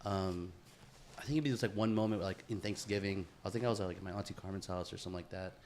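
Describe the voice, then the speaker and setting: A man's voice: deep voice, fast talker, darkish tone, a little hiss or hum. A man co-hosting a podcast in casual, slangy conversation, often addressing his friend as bro, dude or man. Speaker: podcast co-host.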